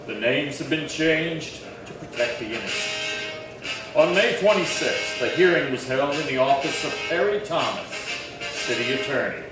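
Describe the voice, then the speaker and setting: A man working as announcer for a radio drama, reading a script in a formal, measured way. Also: mid-range voice, lively intonation. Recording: echoey room, distant mic. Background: music.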